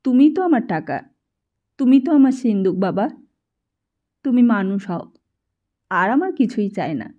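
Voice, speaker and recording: A woman voicing a gentle, humble, devoted mother in an audiobook, speaking in a reassuring, admonishing way. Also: fairly high pitch, sing-song, unhurried, long pauses, narrow, tinny audio. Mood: tender. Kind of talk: audiobook character voice.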